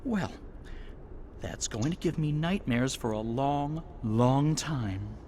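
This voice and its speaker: A wry dreamworld companion. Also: male voice, mid-range voice, animated, slow pace, warm tone, a little hiss or hum.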